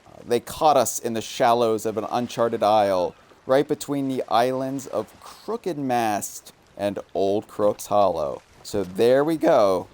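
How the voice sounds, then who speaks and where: A man's voice: lowish voice, lively intonation, slow pace, a little mellow. A man co-hosting a game stream, casually chatting and reading game text aloud.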